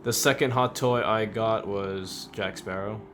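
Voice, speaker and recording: A man's voice: fairly low pitch, steady tone, unhurried, background noise. A man giving a casual, rambling review, thinking aloud in an informal, offhand way. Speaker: host of a toy figure review channel. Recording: music under the voice. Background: background music.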